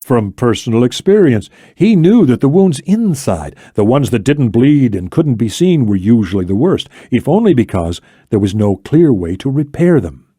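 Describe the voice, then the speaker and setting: Man reading fiction with lively, mellow delivery. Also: mid-range voice, normal speed, few pauses, husky, no echo, clean studio sound. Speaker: audiobook narrator. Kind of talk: scripted fiction narration.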